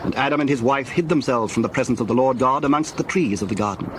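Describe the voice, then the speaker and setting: Male narrator, measured, solemn, scripture storytelling, mid-pitched, clear background noise bed.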